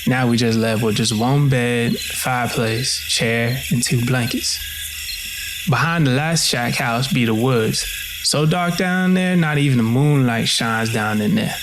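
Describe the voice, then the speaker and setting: A man's voice: medium pitch, unhurried, noisy background, natural voice. A man playing an enslaved young man with a Southern US accent, telling of plantation life in a plainspoken, weary, folksy dialect in a dramatic narration. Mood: somber, matter-of-fact. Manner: folksy, storytelling. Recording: music under the voice.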